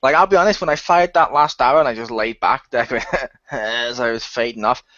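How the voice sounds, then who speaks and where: A man in a casual group chat, telling stories in an animated way, acting out quotes and swearing freely, on a slightly lo-fi recording. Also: medium pitch, fairly quick, no echo. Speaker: radio play cast member on a wrap-up discussion.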